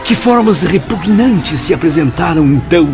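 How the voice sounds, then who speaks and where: A man's voice: on the high side, lively intonation, normal speed, muffled recording, music in the background, natural voice. An actor playing an earnest male spirit student who narrates his journey in a formal, theatrical Brazilian Portuguese radio drama style, with a Brazilian accent. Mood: horrified.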